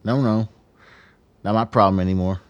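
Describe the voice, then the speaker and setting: Low-voiced, laconic male wilderness guide, acted. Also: fairly even intonation, moderate pace, clear, resonant tone, no room sound, muffled recording, natural voice. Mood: gruff, wry. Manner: laconic, blunt, unsentimental. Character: tough, weathered, blunt guide through frozen wilderness. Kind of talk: radio drama acting.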